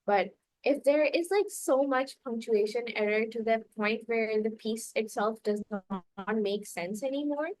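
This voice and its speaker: Young woman, native Urdu speaker, podcast host; bright-pitched, chatty, laughs a lot. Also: measured pace, dry recording, poor audio. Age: young adult. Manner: chatty, laughs often, many filler likes. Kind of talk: casual podcast chat.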